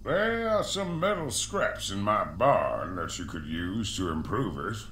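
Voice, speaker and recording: Deep, animated, welcoming farmer. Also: male voice, measured pace. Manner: welcoming, plainspoken. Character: friendly, hearty male farmer helping a newcomer. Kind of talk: video game character dialogue. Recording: roomy echo, faint noise.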